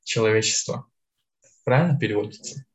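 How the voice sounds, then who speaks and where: A man's voice: lowish voice, brisk. An eighteen-year-old man, co-hosting a podcast in a casual, conversational style. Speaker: podcast co-host.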